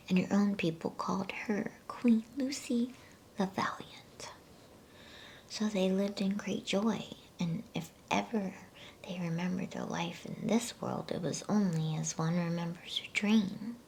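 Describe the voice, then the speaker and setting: A woman reading a storybook in a soft, breathy ASMR whisper, with a soothing, unhurried manner and casual delivery. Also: on the low side, a little hiss or hum. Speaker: ASMR bedtime story reader.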